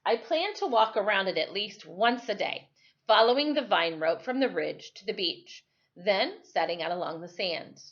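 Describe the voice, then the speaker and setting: Lofi kinda dull audio, lady doing storytime read aloud, expressive n deliberate, really up and down pitch. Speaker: teacher reading a storybook aloud.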